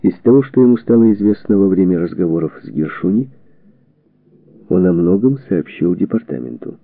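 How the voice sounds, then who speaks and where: A man reading a historical book as a scripted narration, in measured, deliberate phrases. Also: low voice, expressive, creaky, no room sound. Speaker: audiobook narrator. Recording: narrow phone-like band, radio-like sound.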